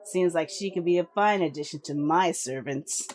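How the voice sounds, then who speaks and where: A woman voicing a composed noblewoman who speaks softly and soothingly. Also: on the low side, moderate pace, a little hiss or hum, natural voice. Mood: commanding. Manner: soft, smooth, soothing. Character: composed noblewoman, calm and commanding.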